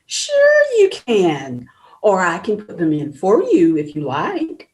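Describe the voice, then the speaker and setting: Sarcastic fifties charge nurse, woman actor: slow, syrupy, wildly swooping delivery. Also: on the low side, wildly swooping pitch, darkish tone, heavily acted. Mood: mock-sweet sarcasm. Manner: sing-song, exaggerated bounce. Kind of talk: script table read.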